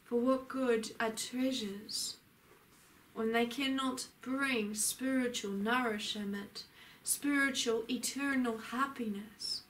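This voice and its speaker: A woman preaches a channeled sermon in a high, bright voice. She speaks very slowly and solemnly, drawing each phrase out with long pauses, and declaims like a prophet addressing the faithful. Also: steady tone, a little hiss or hum, rough recording. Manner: solemn, declamatory, pausing often. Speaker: spiritual channeler.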